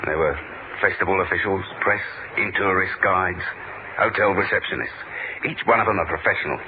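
An actor playing a world-weary, wry British spy with a dry, deadpan delivery in a radio thriller. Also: male voice, deep voice, a little fast, phone-quality sound, background noise. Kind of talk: 1970s radio spy drama narration. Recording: thin old radio recording, loud music.

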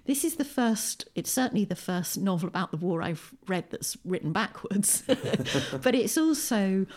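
A woman's voice: medium pitch, big pitch swings, measured pace, a little mellow. A woman with an English accent talking thoughtfully and articulately as an interview guest, making her points with emphasis. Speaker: podcast guest, poet and writer. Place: at home, indoors.

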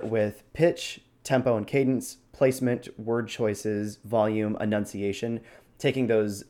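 A man with a fairly low voice talks as the host of a hobby show. He explains techniques in an informal, instructional style, with an encouraging way of putting things. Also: dark tone. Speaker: show host giving role-playing tips. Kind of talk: casual instructional chat.

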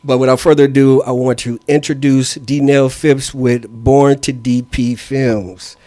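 A man hosting a podcast, speaking with a hoarse voice and measured, slow introductions. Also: mid-range voice, little pitch movement, mellow, dry recording. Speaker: podcast host. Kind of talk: casual interview hosting.

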